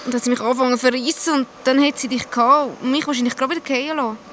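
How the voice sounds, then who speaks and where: Injured young companion: frank Swiss German, very high voice, rapid delivery, noisy radio-drama ambience. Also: female voice, fairly even intonation, fairly bright tone. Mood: earnest, explaining. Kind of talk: radio play acting. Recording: clear ambient noise behind.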